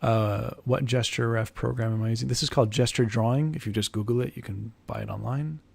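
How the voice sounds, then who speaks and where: A young man chatting casually with viewers on a drawing livestream, answering questions in a loose, halting way and restarting phrases as he sketches at his desk. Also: mid-range voice, steady tone, vocal fry, husky. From Iraq, grew up in the United States. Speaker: art streamer. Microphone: headphones, desk mic.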